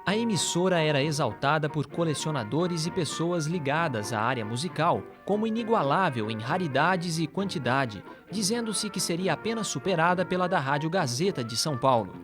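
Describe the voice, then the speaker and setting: A man's voice: on the high side, expressive, normal speed, few pauses. A man with a Brazilian accent reads scripted documentary narration. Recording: music playing behind the voice. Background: background music.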